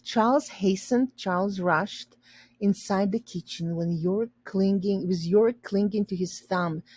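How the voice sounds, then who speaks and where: A woman teacher reading a storybook aloud, pausing to explain and rephrase words simply for learners. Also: medium pitch, a little mellow, husky, no room sound.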